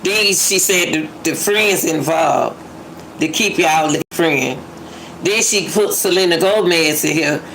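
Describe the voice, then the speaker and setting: A woman's voice: deep voice, unhurried, fairly bright tone, a little hiss or hum, low-quality recording. A woman giving casual reaction commentary, musing aloud and repeating her phrases for emphasis. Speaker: commentator reacting to a phone call clip.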